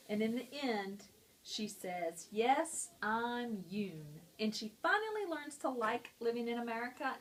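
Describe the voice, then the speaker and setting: Teacher woman with a higher voice. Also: low-quality recording. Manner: friendly, explanatory, talking to students. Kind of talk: explaining a lesson on a picture book.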